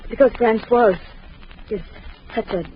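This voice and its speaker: An actress playing an anxious young doctor's wife, breathless and emotional, in 1940s radio acting. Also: female voice, a little high, unhurried, natural voice. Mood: wistful. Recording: dull old radio recording with hiss.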